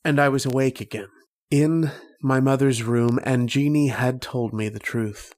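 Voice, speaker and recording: An actor playing a lovestruck, haunted young man who tells of his dreams in a slow, wistful, literary style of old-time radio drama. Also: medium pitch, a little mellow, close to an everyday voice. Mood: somber. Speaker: actor and narrator in a horror radio play.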